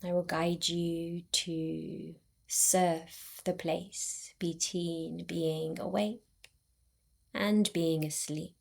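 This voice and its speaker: A woman guiding a yoga nidra relaxation in a soothing, unhurried way, with long pauses between short phrases. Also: on the low side, little pitch movement, creaky. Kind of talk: guided meditation.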